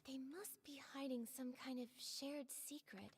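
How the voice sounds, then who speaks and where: A woman voicing a gentle, polite young girl heroine in a video game, soft and earnest. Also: sits high, fairly even intonation, measured pace, breathy edge, heavily acted. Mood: thoughtful. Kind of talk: game voice acting.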